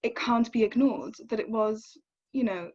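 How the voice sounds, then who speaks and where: A woman's voice: on the high side, normal speed, a little mellow. Young woman, slightly muffled sound. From South Wales. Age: young adult. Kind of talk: casual literary discussion.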